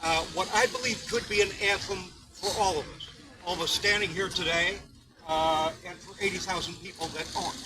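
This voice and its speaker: A man's voice: sits high, fairly even intonation, a little fast, music in the background, low-quality recording. A man giving a fired-up, profane speech at an outdoor protest. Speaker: protest organizer. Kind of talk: rally speech.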